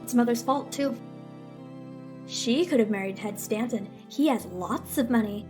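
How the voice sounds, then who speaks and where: Woman playing a high-voiced, petulant teenage girl. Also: a little slow, over music, lightly acted. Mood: bitter, resentful. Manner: sulky, accusing, dramatic. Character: bitter, petulant teenage girl narrating her grievances. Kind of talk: old-time radio melodrama acting.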